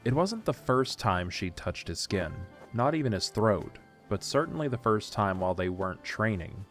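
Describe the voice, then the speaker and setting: Lower-voiced man narrating fiction.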